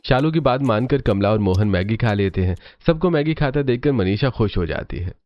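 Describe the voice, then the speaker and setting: A man's voice: mid-range voice, expressive, brisk, highs cut off. A man reading scripted storybook narration for a cartoon.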